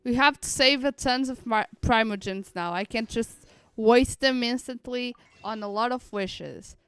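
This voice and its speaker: A woman's voice: on the high side, a bit bright, clear, resonant tone, no echo. A woman streamer chatting casually and playfully to her live audience as she plays a game. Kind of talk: casual live-stream chat while gaming. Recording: dry close mic, faint music behind.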